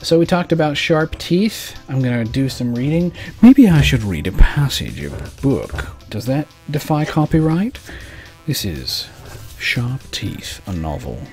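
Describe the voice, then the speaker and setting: A man's voice: mid-range voice, big pitch swings, unhurried, darkish tone. A man hosting a loose, rambling late-night show, swearing casually as he chats and reads a novel aloud. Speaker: podcast host. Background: faint background music.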